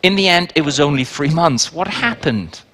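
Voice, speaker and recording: A man's voice: fairly high pitch, expressive, normal speed, fairly bright tone, slight background noise. A man moderating a panel discussion in an informal manner, with joking asides.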